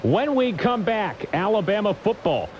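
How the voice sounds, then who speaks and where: Male sports broadcaster, higher-pitched, polished scripted update read with punchy phrasing, slightly muffled lo-fi radio sound. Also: very animated, moderate pace, darkish tone, slight background noise.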